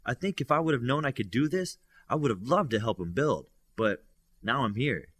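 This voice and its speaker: A man's voice: mid-range voice, expressive, normal speed, a bit bright, slightly rough. A man voicing a modest, eager and curious young boy superhero in an audiobook. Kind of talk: audiobook character dialogue.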